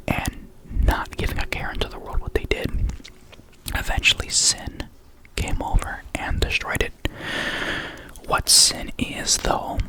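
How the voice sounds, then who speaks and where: A young adult ASMR creator with a lisp who stutters now and then, rambling casually in a soft unvoiced whisper close to the mic. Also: poor audio.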